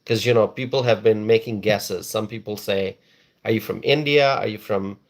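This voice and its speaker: A man's voice: on the low side, normal speed. A man from Bangladesh hosting a casual live stream, reading viewers' comments aloud and repeating phrases for emphasis.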